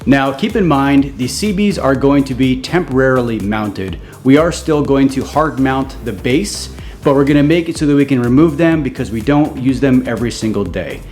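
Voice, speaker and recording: Mid-pitched man presenting a how-to, light music. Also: lively intonation, moderate pace, a little mellow. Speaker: co-host of a vehicle gear channel.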